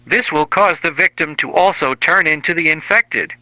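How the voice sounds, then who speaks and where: A man's voice: a little high, moderate pace, sharp tone, low-quality recording. A man reading a scripted emergency alert as a broadcast announcer.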